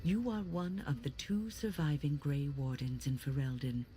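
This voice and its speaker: An actress playing an older woman mage in a fantasy video game, speaking in formal, measured phrases as an earnest, kindly counselor. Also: low voice, animated, mellow, a little hiss or hum. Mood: kindly, encouraging. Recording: game music behind the voice.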